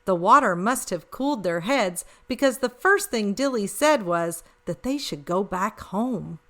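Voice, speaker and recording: A woman reading a story aloud for children as host, expressive and speaking directly to young listeners. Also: medium pitch, a little slow.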